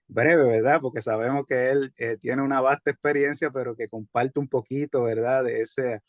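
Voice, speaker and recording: A man hosting a religious interview, speaking courteously and a little haltingly as he introduces his guest. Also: medium pitch, no room sound, studio quality.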